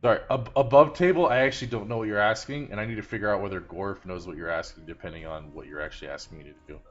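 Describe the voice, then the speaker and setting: A man playing a tabletop game, talking casually in a halting, questioning way. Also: lowish voice, muffled recording, faint music behind.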